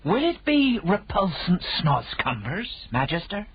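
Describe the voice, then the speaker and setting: A woman voicing a humble, kindly giant man who mangles his words, in slow grand speech. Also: sing-song, slightly rough, lo-fi sound, heavily acted. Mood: earnest. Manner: slow, grand, booming speech with broken grammar. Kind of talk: audiobook character voice.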